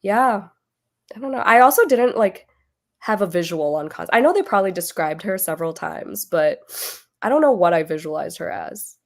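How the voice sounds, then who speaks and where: Woman host on a video call, kinda hoarse, laughs a lot, trails off mid thought. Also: medium pitch, animated, moderate pace. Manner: chatty, laughs often, trails off. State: losing her voice, getting over illness. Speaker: book club host. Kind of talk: casual book discussion.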